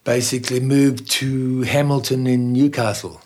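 A man's voice: medium pitch, steady tone, a little slow, darkish tone. An older man from Austria who speaks with an Australian accent, telling stories as a guest in a casual interview and laughing often. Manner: chatty storyteller, laughs often. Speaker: guest musician.

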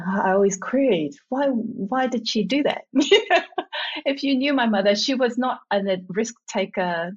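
A woman's voice: mid-range voice, breathy edge. A middle-aged woman from Samoa, talking reflectively as an interview guest, laughing often and sometimes restarting her sentences. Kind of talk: conversational interview. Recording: dull lo-fi sound.

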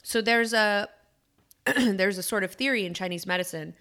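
A woman's voice: mid-range voice, normal speed, fairly bright tone. A woman in her thirties co-hosting a podcast, talking in a musing way with many fillers and restarts. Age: 30s. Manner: musing, frequent fillers and self-corrections. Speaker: podcast co-host, acupuncturist. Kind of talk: casual chat.

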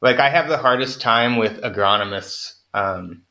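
Bright-voiced young man, rambling and joking. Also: mid-range voice, normal speed, creaky, low-quality recording. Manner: rambling, self-deprecating, many fillers. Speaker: podcast guest, farmer. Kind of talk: casual interview chat.

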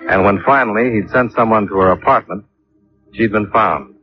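Actor as low-voiced, persistent male investigator. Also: normal speed, close to an everyday voice. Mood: matter-of-fact narration. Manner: matter-of-fact, probing. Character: cool, persistent male investigator narrating his case. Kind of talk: 1950s radio detective drama, terse narration. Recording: dull old radio recording with music.

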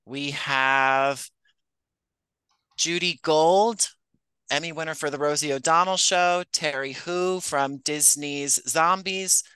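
Bright, clear-voiced man answering interview questions. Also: a little high, steady tone, takes it slowly, no room sound. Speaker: podcast writer-producer and actor, interview guest.

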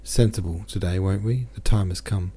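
A man with a deep voice reading the lines of a sad, gentle young merchant from a novel. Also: fairly even intonation, normal speed, husky. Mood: heavy-hearted. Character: young male merchant, heavy-hearted and gentle.

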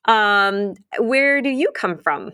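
A woman's voice: mid-range voice, animated, slow pace, pushed and bright, clear, resonant tone. A woman hosting a casual interview, asking questions in an encouraging way. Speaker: interviewer, host.

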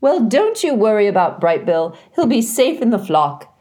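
A woman voicing a chatty, bossy old female goose who talks in a bright sing-song way. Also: medium pitch, big pitch swings, normal speed, voice pushed up, lightly acted. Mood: cheerful, bossy.

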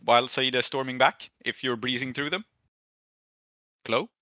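A man's voice: mid-range voice, steady tone, normal speed, bright, forceful tone, dry recording, highs cut off. A man acting as game master, narrating casually and informally to the players, with frequent affirmations and some swearing.

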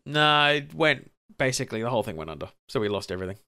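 Bright-voiced laid-back Brisbane man. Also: mid-range voice, sing-song, rapid-fire, clean tone, dry recording, clean studio sound. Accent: Australian, Brisbane. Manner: laid-back, swears casually. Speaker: podcast co-host. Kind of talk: casual chat.

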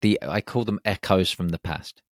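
A man hosting a casual podcast interview, relaxed, often giving short agreements. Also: deep voice, moderate pace, no room sound, clean studio sound. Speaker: podcast host.

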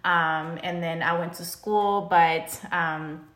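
A woman's voice: on the low side, fairly even intonation, takes it slowly, a bit bright. A woman from El Salvador whose first language is Spanish, telling her story casually as a chatty podcast guest. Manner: informal, chatty, laughs easily. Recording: roomy, echoing sound.

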